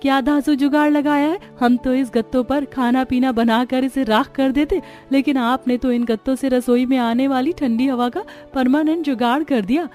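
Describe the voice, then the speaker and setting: A woman's voice: high-pitched, steady tone, normal speed, hardly any pauses, darkish tone, soft background music, lightly acted. A woman voicing a resourceful, cheerful young daughter-in-law in a cartoon story, eager and respectful. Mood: admiring. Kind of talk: Hindi cartoon story dialogue.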